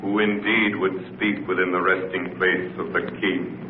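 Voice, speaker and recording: An actor playing a solemn, imperious ancient Pharaoh with stately, formal delivery in an old-time radio drama. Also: male voice, sits low, steady tone, unhurried. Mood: regal. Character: commanding, solemn ancient male Pharaoh spirit. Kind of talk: 1940s radio drama acting. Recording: distant, very noisy, phone-like radio recording.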